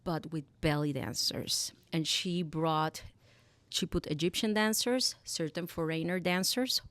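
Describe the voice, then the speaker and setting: A woman's voice: low voice, a little slow, fairly bright tone, no echo. A woman hosting a live podcast, introducing her guest and asking about her story. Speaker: podcast host. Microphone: live event microphone.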